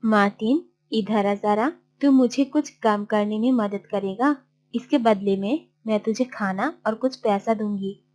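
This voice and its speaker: A woman's voice: medium pitch, measured pace, darkish tone, slight background noise, lightly acted. A woman voicing a stingy, bossy village aunt in a children's cartoon, haughty and scolding. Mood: bossy, coaxing. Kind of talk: children's cartoon story voice acting.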